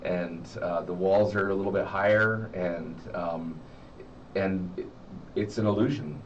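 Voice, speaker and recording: A middle-aged American man talking reflectively as an interview guest, halting and repeating words as he searches for the next one. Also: low-pitched. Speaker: interview guest, horror story writer. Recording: muffled, distant mic with faint noise.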